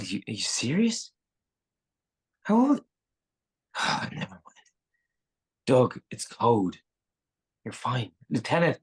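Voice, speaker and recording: An actor playing a brusque, exasperated Navy admiral with a gravelly, husky voice, giving halting, clipped orders in a screenplay table read. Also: medium pitch, exaggerated voice. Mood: exasperated, terse.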